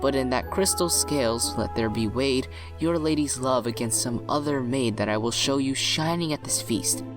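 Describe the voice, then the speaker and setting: A man's voice: medium pitch, moderate pace, few pauses, over music, natural voice. A man playing a sensible, teasing young nobleman and loyal friend in a Shakespearean audio play. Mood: teasing, persuasive.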